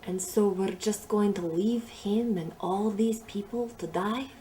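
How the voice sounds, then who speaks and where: A woman's voice: mid-range voice, measured pace, mellow, slight background noise, lightly acted, poor audio. Woman playing a proud, formal future warrior. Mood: troubled. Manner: formal, dramatic. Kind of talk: audio drama acting.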